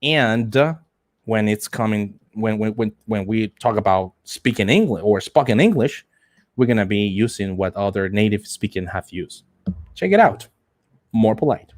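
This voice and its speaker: A man teaching a live online English lesson, prompting students and repeating key phrases with slow, deliberate emphasis. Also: on the low side, lively intonation. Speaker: English teacher for call center trainees.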